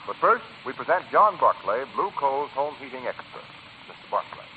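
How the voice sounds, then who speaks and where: A man's voice: medium pitch, very animated, moderate pace, a little hiss or hum. A man announcing on 1940s radio with an emphatic, polished promotional delivery. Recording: tinny 1940s radio recording.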